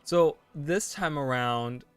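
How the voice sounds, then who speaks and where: A man's voice: on the high side, slow, clear, resonant tone, no echo, soft background music. A man in his late twenties chatting casually while streaming a game, rambling and thinking aloud, full of "like" and "you know". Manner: thinking aloud, self-correcting. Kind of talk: casual chat while gaming.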